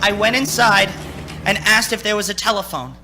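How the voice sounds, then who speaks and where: An actor in a radio play playing a frightened young man who narrates his ordeal as he unravels, intense and halting. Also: high voice, noisy background, close to an everyday voice. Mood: weary, desperate. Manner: intense, haunted, halting under strain. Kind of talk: dramatic radio play acting.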